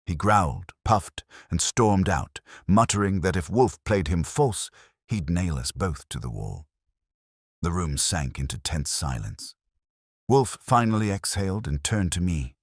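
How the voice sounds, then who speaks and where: Very deep-voiced man narrating detective fiction. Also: lively intonation, measured pace, no echo, studio quality. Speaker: audiobook narrator. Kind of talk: scripted first-person detective story narration.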